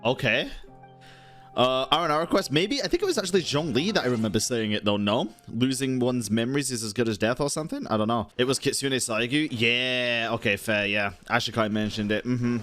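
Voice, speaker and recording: Male game streamer, casual and sweary, muttered slangy asides, soft music behind. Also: mid-range voice, brisk. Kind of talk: casual chat, live game commentary.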